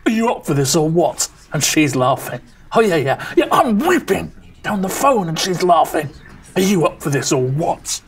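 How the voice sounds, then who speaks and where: Low-voiced, wounded grown daughter. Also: female voice, big pitch swings, normal speed. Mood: anguished, accusing. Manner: blunt, wounded, accusing. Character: hurt, resentful grown daughter of a widow, bereaved.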